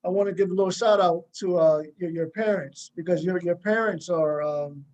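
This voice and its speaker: A man with a high-pitched voice leads a casual cast interview as host. He sounds supportive and encouraging as he talks. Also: normal speed, a little mellow, muffled recording. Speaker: host leading a cast discussion.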